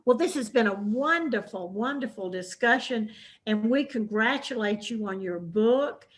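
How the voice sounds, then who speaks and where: A woman's voice: on the high side, lively intonation, a little slow. A woman with a Southern accent hosting a book program, chatty and full of fillers. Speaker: moderator of a women's book program. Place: hybrid in-person and Zoom event.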